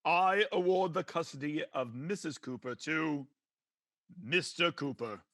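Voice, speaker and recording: An actor playing a pompous, preachy judge in an old-time radio comedy, with theatrical delivery. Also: male voice, fairly high pitch, a little slow, bright, forceful tone, no echo. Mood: pompous, wry. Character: pompous male judge presiding over comic domestic court.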